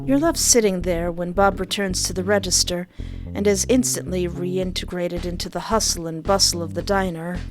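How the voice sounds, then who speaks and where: A woman plays through a game, voicing the narration with playful expression and chatty asides. Her pitch is average for a woman, and quiet music runs behind her. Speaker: game playthrough host. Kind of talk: reading game narration aloud with casual reactions.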